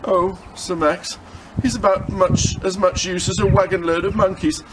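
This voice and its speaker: A man's voice: high voice, little pitch movement, normal speed, background noise, pitched above the performer's own voice, natural voice. A man voicing a scornful young Tudor noblewoman in a storybook, with archaic, disdainful phrasing.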